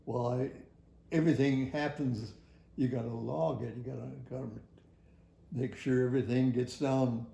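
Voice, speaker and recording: An older man tells a personal story from his working life in a halting, rambling, folksy way. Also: mid-range voice, steady tone, gravelly, mellow, echoey room. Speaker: retired air traffic facility worker. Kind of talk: personal oral history storytelling.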